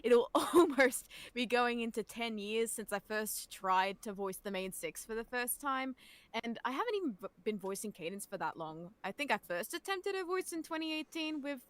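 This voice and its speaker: A woman's voice: on the high side, lively intonation, normal speed. A woman voice actor chatting casually as a guest, faltering and a little self-deprecating. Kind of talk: casual livestream chat.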